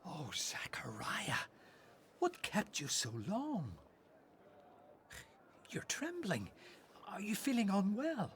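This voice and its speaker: A woman's voice: medium pitch, wildly swooping pitch, slow pace, long pauses, slight background noise, exaggerated voice. A woman playing an anxious, concerned worshipper in a radio drama. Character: anxious, concerned worshipper waiting outside the temple.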